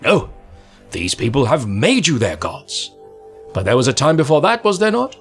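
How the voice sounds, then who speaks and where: A man's voice: mid-range voice, huge pitch swings, moderate pace, soft background music. A man reading aloud and voicing an old male alien traveller who needles and questions with theatrical defiance. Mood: mocking.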